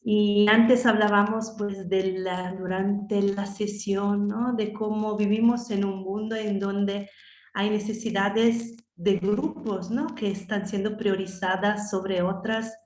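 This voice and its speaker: A woman's voice: mid-range voice, fairly even intonation, slow. Reflective woman on an echoey video call. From Italy. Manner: thoughtful, many "pues" and "¿no?" fillers. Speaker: guest, nonviolent communication trainer. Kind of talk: reflective interview answers.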